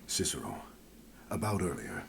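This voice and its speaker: An actor playing a grave, worried male companion in a game, speaking in a hushed, earnest way. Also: a bass voice, very animated, moderate pace, vocal fry, a little hiss or hum, heavily acted. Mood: troubled.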